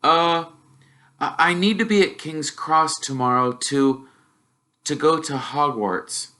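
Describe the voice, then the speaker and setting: A man voicing a timid, polite young boy in a storybook, in a tentative, soft, hesitant way. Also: fairly high pitch, takes it slowly, voice pushed up. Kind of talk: storybook character voice.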